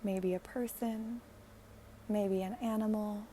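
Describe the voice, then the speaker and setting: Slow, mellow woman guiding meditation. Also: medium pitch, fairly even intonation, slight background noise. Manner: gentle, hushed delivery with long pauses. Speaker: guided meditation leader. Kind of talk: guided meditation, slow and soothing narration.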